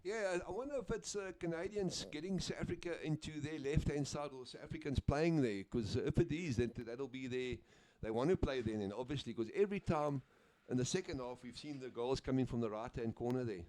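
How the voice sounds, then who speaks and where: Guy doing sports commentary, laid back, lots of uhs, mellow voice. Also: medium pitch, fairly quick, few pauses, slight background noise. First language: Afrikaans. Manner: easygoing, chatty, with frequent uhs and name slips. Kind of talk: live play-by-play commentary.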